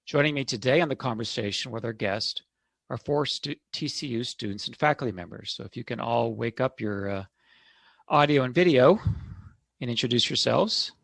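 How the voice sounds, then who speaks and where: Male moderator, gravelly, dry video-call audio, measured formal delivery. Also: medium pitch, animated, fairly bright tone, no echo. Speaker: moderator, energy institute director. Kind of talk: hosting a video-call panel.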